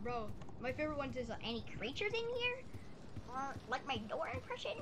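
A young teenage boy giving casual, rambling, slangy and excitable commentary while he plays a game. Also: very high-pitched, a little fast, noisy background. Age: young teen. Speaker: gaming video maker. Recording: loud game music behind voice.

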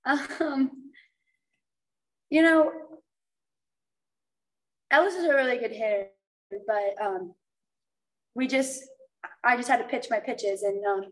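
Young woman pitcher, somewhat high voice. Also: far from the mic, low-quality recording. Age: young adult. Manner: halting, many ums. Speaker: college softball pitcher. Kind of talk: press conference answers. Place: press room, seated at a table.

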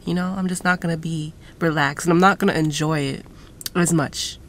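A woman's voice: low voice, fairly even intonation, moderate pace, a bit bright, a little hiss or hum. A young woman in her twenties chatting casually to a phone camera from inside a parked car, laid-back and swearing now and then. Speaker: vlogger. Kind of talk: casual vlog talk to camera. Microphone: phone camera at arm's length.

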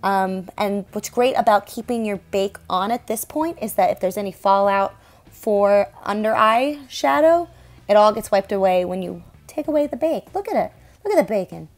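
A woman's voice: mid-range voice, animated, moderate pace. A young woman talks loosely and chattily as she narrates her own stage makeup and hair routine. Her delivery is casual and self-deprecating, broken by frequent small laughs. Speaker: stage performer and makeup vlogger. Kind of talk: casual tutorial voice-over.

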